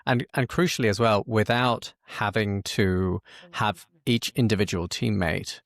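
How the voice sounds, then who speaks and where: A man's voice: fairly low pitch, a little slow, no room sound. A man hosting an interview podcast, conversationally summing up what his guest said and asking questions. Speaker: podcast host.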